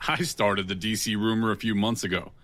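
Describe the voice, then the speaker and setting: A man's voice: lowish voice, fairly even intonation, a little fast, fairly bright tone, no room sound, natural voice. An actor playing a wry, unafraid tough guy in an audio drama, speaking in a dry, blunt, sardonic way. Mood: wry, probing. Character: wry, unafraid, plain-spoken male tough guy with a kind streak.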